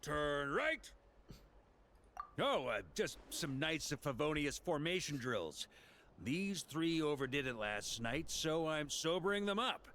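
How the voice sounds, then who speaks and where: An actor playing a hearty, rugged knight commander in a video game, jovial and philosophical with a rousing, theatrical manner. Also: male voice, on the high side, big pitch swings, slow pace, a bit bright, slight background noise, heavily acted. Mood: commanding. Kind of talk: dramatic video game voice acting. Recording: music behind the voice.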